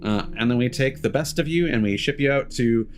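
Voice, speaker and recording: A man's voice: mid-range voice, fairly quick. A man streaming a game, reading text aloud and adding cheeky, sardonic asides. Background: music.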